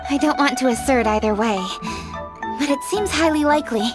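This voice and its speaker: Actress as principled young noblewoman, game cutscene: high, formal, firm and matter-of-fact. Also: a little fast, loud music behind the voice, close to an everyday voice. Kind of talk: video game cutscene acting.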